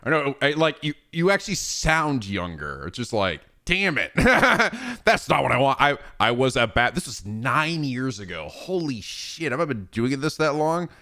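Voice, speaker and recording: A man's voice: mid-range voice, big pitch swings, measured pace, few pauses, bright, forceful tone. A man in his thirties chatting casually on a livestream, rambling and swearing freely with frequent laughs. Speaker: streamer.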